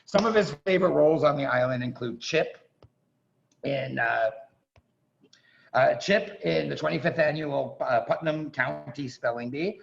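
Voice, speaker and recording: A man hosting a staged reading over a video call, making effusive, chatty, informal introductions in a gravelly voice. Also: a little high, unhurried. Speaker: host of a staged reading event. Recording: dull, muffled video-call audio.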